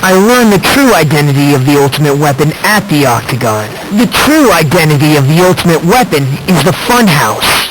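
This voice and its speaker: Game role: condescending teen boy, lightish high voice, theatrical and measured, eerily courteous; clearly noisy recording. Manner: measured, theatrical, smugly polite. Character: soft-spoken, condescending, eerie teenage boy obsessed with hope. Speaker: video game character voice actor. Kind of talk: scripted game dialogue, mystery exposition.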